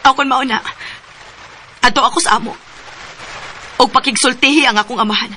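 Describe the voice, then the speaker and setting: High-pitched, insistent young Cebuano girlfriend. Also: fairly even intonation, fairly quick, bright, forceful tone, slight background noise. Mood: insistent, distressed. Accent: Filipino, Cebuano. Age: young adult. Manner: pressing, reproachful. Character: distressed young pregnant girlfriend, insistent, reproachful. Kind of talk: radio drama acting.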